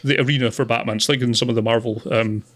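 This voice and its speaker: A man co-hosting a film podcast, chatting casually in a hesitant, measured way. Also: mid-range voice, fairly even intonation, a bit bright. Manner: hesitant, measured, laughs often. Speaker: podcast co-host. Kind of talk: casual film review chat.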